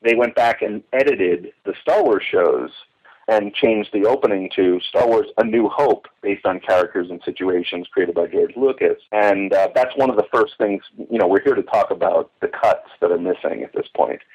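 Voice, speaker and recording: A deep-voiced man comes through a muffled, phone-like line with slightly husky, unclear tone. He is an eager interview guest, talking emphatically and drifting into asides. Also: normal speed, darkish tone. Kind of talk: enthusiastic conversational recollection.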